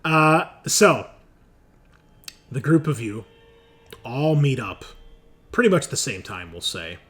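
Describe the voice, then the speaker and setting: A man's voice: mid-range voice, sing-song, slow, a bit bright. A man casually narrating a tabletop roleplaying game as the game master. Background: background music.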